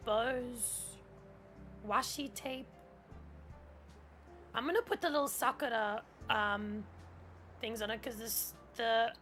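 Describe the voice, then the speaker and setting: German-native woman streamer, casual and rambling, reads prompts aloud, a bit high-pitched. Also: fairly bright tone. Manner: chatty, heavy use of "like", reads on-screen tutorial text aloud. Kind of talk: casual gameplay stream commentary.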